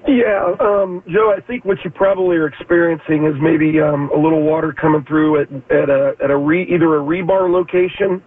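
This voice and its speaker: A male guest expert with a slightly scratchy, allergy-worn voice. He talks haltingly and fills pauses with ums and uhs. He sits a touch high, heard over a tinny phone line. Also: steady tone. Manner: hesitant, many fillers. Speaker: foundation repair expert guest.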